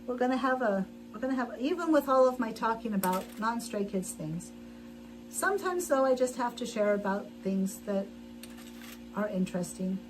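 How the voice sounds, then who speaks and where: A woman's voice: a little high, expressive, moderate pace, music in the background, low-quality recording. A middle-aged woman chatting casually as she sews on a livestream, rambling with frequent restarts and laughs, in her sewing room at home. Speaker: livestream host. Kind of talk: casual chat while sewing.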